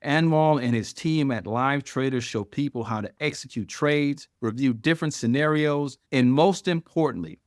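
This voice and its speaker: A man hosting a podcast, introducing and interviewing in a measured, emphatic presenter's delivery. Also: medium pitch, no room sound, clean studio sound. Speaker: podcast host.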